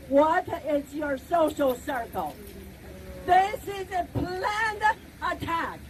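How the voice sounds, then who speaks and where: A middle-aged woman with a Chinese accent gives an impassioned rally speech outdoors. Her voice sits very high, and she speaks in slow, deliberate phrases that swell into shouts. Faint traffic hums behind her. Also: poor audio. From China. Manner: emphatic, deliberate, rising to shouts.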